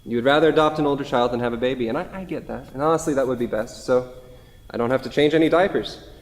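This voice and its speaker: A man playing a wistful, gently rueful grieving widower in a staged play reading, recorded in an echoey hall. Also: medium pitch, fast talker, lightly acted. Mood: subdued regret. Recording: echoey hall with long reverb.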